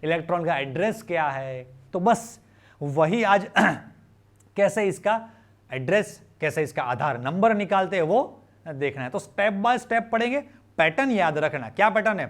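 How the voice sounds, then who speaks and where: A man's voice: high-pitched, expressive, measured pace. A man with an Indian accent teaches students informally, conversationally explaining with questions and repeated phrases and mixing in English. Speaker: chemistry teacher.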